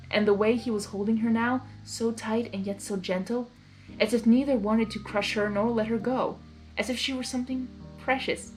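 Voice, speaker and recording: High-voiced woman reading a story. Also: rough recording. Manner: excitable, expressive. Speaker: fan fiction reader and reactor. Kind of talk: blind story reading with casual reactions.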